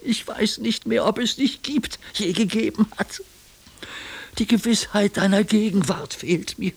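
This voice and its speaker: An actor playing an anguished, guilt-ridden man praying near death in a radio play, slow and halting, with a husky, breathy voice. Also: high-pitched, exaggerated voice. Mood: despairing. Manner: slow, halting confession, often near whisper. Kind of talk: German radio play acting.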